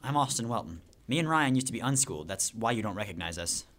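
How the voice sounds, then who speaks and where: A man's voice: lowish voice, lively intonation, quick pace, fairly bright tone, slight background noise. A young man acting an earnest, level-headed teenage schoolboy survivor in a radio drama. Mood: reassuring.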